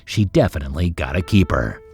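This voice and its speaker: A man's voice: deep voice, expressive, brisk, darkish tone. A man hosting a story channel, reading scripted narration in an upbeat, suspenseful storyteller manner.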